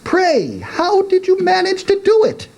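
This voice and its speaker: A man's voice: very high-pitched, wildly swooping pitch, a little slow, creaky, a little mellow, voice pushed up, full character voice. A man voicing an earnest, nosy young man who questions his old father in emphatic disbelief, in a comic poetry reading. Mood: nosy, teasing.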